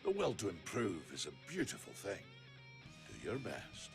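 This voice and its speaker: A man voicing a flamboyant, ageless vampire gentleman in a video game, grandiose, self-assured and unhurried. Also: deep voice, huge pitch swings, creaky, heavily acted. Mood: suave, assured. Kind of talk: video game character acting. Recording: studio voice under loud guitar music.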